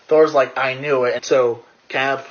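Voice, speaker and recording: A man's voice: mid-range voice, fairly even intonation, a little slow, a loud crowd. A young man casually recapping a movie with a co-host in an enthusiastic, profanity-laced way, on a slightly lo-fi recording. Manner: profane, enthusiastic retelling with quoted lines.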